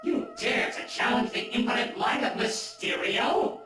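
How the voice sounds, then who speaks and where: A grandiose male video game supervillain declaims like an alien conqueror. His voice is very high and swoops wildly, drenched in echo. He is menacing and pompous in every word. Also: big pitch swings, a little slow, heavily acted. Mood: grandiose threats. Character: bombastic theatrical male supervillain posing as a menacing space alien. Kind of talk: video game cutscene acting. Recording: echoing, distant voice over music and crowd.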